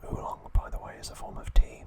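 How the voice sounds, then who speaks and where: Whispering man, ASMR narration. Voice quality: breathy, unvoiced whisper. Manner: slow, hushed, deliberate recap with short phrases. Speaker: ASMR video host.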